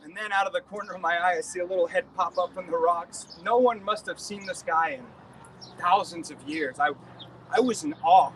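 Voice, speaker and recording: A man's voice: high-pitched, a little fast, distant mic, a little hiss or hum, close to an everyday voice, poor audio. A man on a live outdoor stage, playing a smug man who boasts about a relic he stole, in a theatrical monologue.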